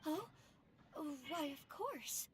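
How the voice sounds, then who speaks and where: High, lilting young noblewoman. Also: expressive, slow, heavy background noise. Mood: impressed. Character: genteel, eager young noblewoman. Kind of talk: video game voice acting.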